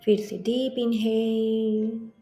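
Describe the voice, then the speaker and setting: A woman leading a guided meditation as a yoga instructor, soothing and gently instructing with long pauses. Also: on the high side, little pitch movement. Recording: roomy echo. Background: soft music.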